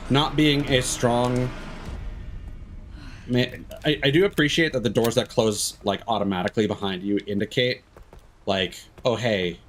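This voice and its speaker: A man's voice: mid-range voice, a little hiss or hum. A man giving casual, opinionated, sweary commentary as he streams a game live.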